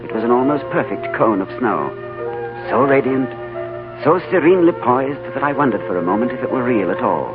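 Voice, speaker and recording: A male actor as an urbane, understated adventurer in a 1940s radio play. His delivery is courteous and composed, with widely moving intonation. The broadcast is tinny and narrow, with loud music behind. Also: medium pitch, animated, fairly quick, natural voice. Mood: grave, awed narrating. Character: calm, urbane English diplomat adventurer, narrating his tale.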